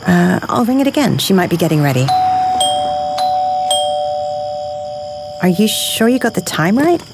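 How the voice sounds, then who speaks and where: An actress playing a worried, protective wife in a naturalistic audio drama. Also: female voice, on the low side, big pitch swings, quick pace, heavy background noise. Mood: mildly impatient. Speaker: actor.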